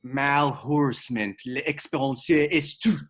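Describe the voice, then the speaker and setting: A man's voice: mid-range voice, slow pace, close to an everyday voice. A man playing a blunt, dryly condescending job counselor in a script table read. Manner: deadpan, blunt.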